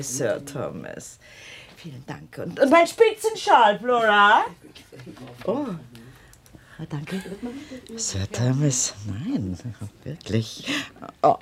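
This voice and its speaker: A woman's voice: on the low side, exaggerated voice. A woman playing a fussy, scatterbrained duchess in a radio play, dithering, stammering and effusively grateful. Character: scatterbrained, fussy, fluttery old-fashioned duchess.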